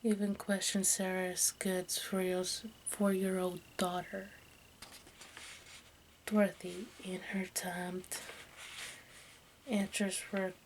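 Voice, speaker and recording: A woman reading aloud in ASMR style in a soft, breathy whisper and hushed speech, halting as she goes. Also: mid-range voice, flat delivery. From United States. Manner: halting, stumbles over names and words. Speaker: ASMR video creator. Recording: faint background noise.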